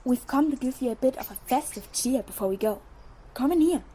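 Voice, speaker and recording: A woman's voice: high-pitched, expressive, fairly quick, dark tone, slight background noise. A woman playing a mischievous teenage schoolboy in an audio drama, telling things in a playful, theatrical way. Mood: playful, conspiratorial.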